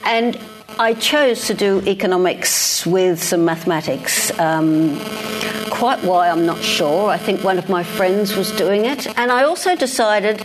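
A woman's voice: medium pitch, low-quality recording. An older Australian woman, a guest speaker, talking casually and self-deprecatingly about her career in front of an audience, with frequent restarts. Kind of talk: reflective conversation on stage. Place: lecture hall with live audience.

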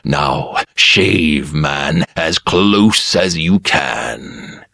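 A man voicing a cruel aristocratic gentleman who taunts with slow, cheerful menace. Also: a bass voice, expressive, gravelly, dry recording, studio quality, heavily acted. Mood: gloating.

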